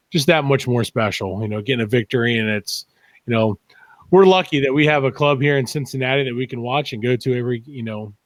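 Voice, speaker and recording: A Midwestern man musing as a guest on a fan podcast, mid-pitched and plain-voiced. He stops and starts, leaning on fillers as he reflects. The sound is dry and close-miked. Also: no echo, poor audio. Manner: halting, filler-heavy, reflective. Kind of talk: casual chat.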